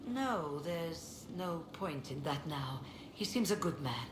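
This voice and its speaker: A woman's voice: sits low, animated, slow pace. An actress playing a pensive, reserved woman in a video game, speaking in a musing, restrained way. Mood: wistful. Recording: echoey space, clear background noise.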